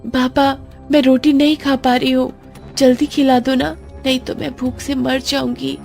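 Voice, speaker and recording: A woman's voice: high voice, little pitch movement, normal speed, vocal fry, a little mellow, voice pushed up. A woman voicing a small, hungry, pleading daughter in a storybook tale. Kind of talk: dramatised storybook dialogue. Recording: music under the voice.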